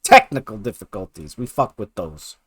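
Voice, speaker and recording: A man giving casual, enthusiastic video game commentary in a gushing, excitable manner with emphatic repetition and swearing. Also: fairly low pitch, moderate pace, crowd noise. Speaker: video creator presenting a top five list.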